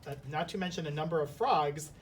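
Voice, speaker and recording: A male teacher in a storybook read-aloud and lesson. He is recorded a little away from the microphone, with a faint rumble behind him. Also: fairly quick. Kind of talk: storybook narration and lesson discussion. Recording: slightly distant mic, faint rumbling noise.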